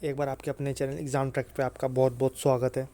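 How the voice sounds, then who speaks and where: A man's voice: mid-range voice, completely flat delivery, quick pace, warm tone, no room sound. A man giving an informal explainer to students as a channel host, reassuring and often adding little check-in questions. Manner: reassuring, repeatedly checks understanding with tag questions. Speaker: exam-prep channel host.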